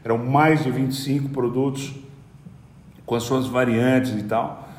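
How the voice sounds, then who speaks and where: A middle-aged man with a Brazilian Portuguese accent talks through his business experience in a relaxed, meandering way. He keeps restarting and repeating little words as he explains. His average-pitched voice carries a noticeable room echo. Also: unhurried, slight background noise. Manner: hesitant, repeats words while explaining at length. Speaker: podcast guest, company executive. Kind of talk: conversational business storytelling. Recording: roomy echoey room.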